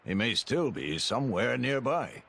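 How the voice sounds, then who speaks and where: Game retainer: calm, formal, gentle, medium pitch, very expressive melody. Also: male voice, a little slow, vocal fry, heavily acted. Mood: measured. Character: calm, courteous male retainer to a lady. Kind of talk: video game cutscene acting.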